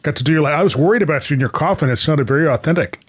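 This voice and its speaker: A man's voice: on the high side, very fast. A man co-hosting a show in casual chat, easygoing and encouraging. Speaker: co-host.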